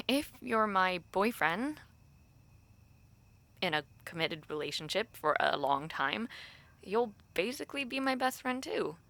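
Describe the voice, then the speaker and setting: A woman's voice: medium pitch, bright, forceful tone. A young woman playing a thoughtful, romantic university student who confides hesitantly in a friend, in an intimate, conversational audio roleplay.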